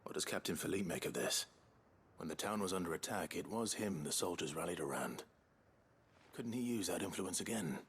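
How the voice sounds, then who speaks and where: An actor playing a brooding but courteous mercenary hero in a video game, earnest, restrained and terse. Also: male voice, deep voice, expressive, a little fast, slightly rough, full character voice. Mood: concerned. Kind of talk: scripted video game drama.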